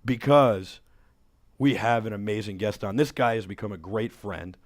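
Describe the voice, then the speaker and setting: A man in his thirties hosting a talk show in a studio, hoarse from a cold, talking with upbeat energy into a headset mic. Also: fairly low pitch, moderate pace. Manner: energetic, enthusiastic promo patter. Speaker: host. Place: studio with city backdrop.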